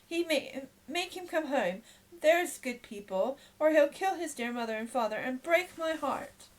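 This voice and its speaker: A woman's voice: sits high, expressive, unhurried, pitched above the performer's own voice. A scheming young woman, played by a woman reading aloud, pleads earnestly with the crowd around her. Her voice is slightly raised and insistent. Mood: pleading.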